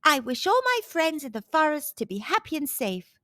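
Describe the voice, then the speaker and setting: A woman voicing a small, kind-hearted young male squirrel from a children's storybook, speaking in an earnest, gentle manner. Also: sits high, very animated, moderate pace, no echo, clean studio sound, voice pushed up. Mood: earnest, grateful.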